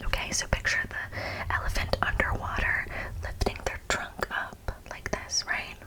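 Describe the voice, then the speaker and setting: A woman whispering as an ASMR host, softly reading from a script with casual asides. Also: measured pace, low-quality recording. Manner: soft, unvoiced whispering.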